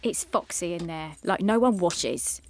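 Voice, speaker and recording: A woman's voice: lowish voice, expressive, unhurried. A woman playing a prim, virtuous seamstress and outsider in a period audio drama, earnest and proper. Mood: hurt, guarded. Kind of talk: period radio drama acting with narration.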